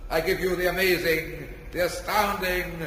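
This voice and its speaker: Booming showman announcer, long reverb. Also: steady tone, moderate pace, pushed and bright. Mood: showy. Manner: declamatory, showy. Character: grandiose stage announcer presenting a hypnotist. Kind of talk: old-time radio comedy acting, showman patter. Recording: reverberant hall effect, old radio hiss.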